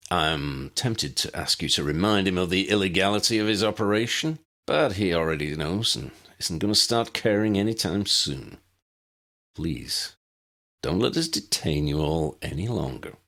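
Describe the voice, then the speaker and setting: A man's voice: low voice, lively intonation, fairly bright tone. A man voicing a stern, weary warlock director in an audiobook, formal and dry with wry sarcasm. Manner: formal, dry, measured, sardonic.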